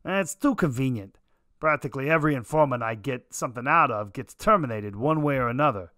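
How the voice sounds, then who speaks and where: A man's voice: medium pitch, animated, fast, sharp tone, echoey room. A man playing a stubborn, wry 1940s private detective in a noir radio drama, speaking in terse, slangy tough talk on a close mic. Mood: tough, insistent. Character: hard-boiled, stubborn 1940s private detective, gruff uncle. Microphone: close studio microphone, headset.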